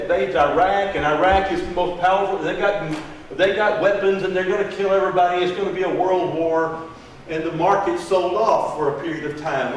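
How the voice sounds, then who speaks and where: Folksy man teaching in an echoey hall. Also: sits high. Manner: folksy, asks the audience questions, emphatic. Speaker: financial advisor teaching a seminar. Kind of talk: classroom presentation. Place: large echoey room. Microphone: room mic from a distance. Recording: echoey room, distant mic, faint hiss.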